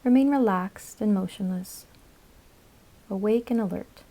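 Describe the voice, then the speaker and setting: A woman guiding a yoga nidra meditation in a calm, soothing, instructional manner, with measured phrases and pauses. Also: mid-range voice. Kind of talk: guided meditation narration.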